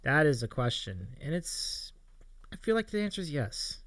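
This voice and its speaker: A man with an American accent hosts a casual livestream in a bright, fairly high voice. He is chatty and appreciative, with very lively intonation, in a dry recording. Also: moderate pace, dry recording. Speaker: show host reading audience questions.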